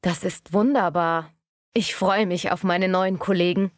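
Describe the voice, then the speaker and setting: A woman acting a polite, eager bookkeeper at a job interview in a scripted language-learning dialogue, speaking courteously and clearly. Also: on the low side, steady tone, a little slow, no echo, clean studio sound, natural voice. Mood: polite, pleased.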